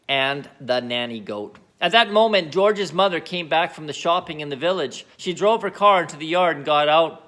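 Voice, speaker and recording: A man reading a children's book aloud as an expressive narrator. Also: a little high. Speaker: storybook reader.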